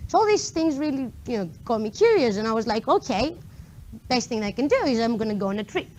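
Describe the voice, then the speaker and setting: A young woman with a Greek accent speaks to a room of listeners in a fairly high voice, lively and informal, with frequent ums and quick asides. Faint room noise sits under her voice. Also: low-quality recording. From Greece. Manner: lively, chatty with the audience, many fillers. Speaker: conference speaker. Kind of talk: meetup tech talk. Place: lecture room before an audience.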